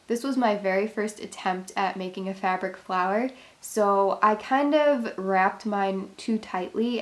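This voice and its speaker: A woman hosting a craft tutorial, giving chatty step-by-step instructions, her voice congested and a little worn. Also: medium pitch. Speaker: craft channel host.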